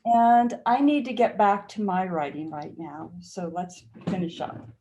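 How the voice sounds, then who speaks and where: A woman's voice: medium pitch, a little slow, dark tone, highs cut off, lightly acted. A woman acting an exasperated but affectionate wife, emphatic and coaxing, in a staged reading of a play. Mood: urging, affectionate.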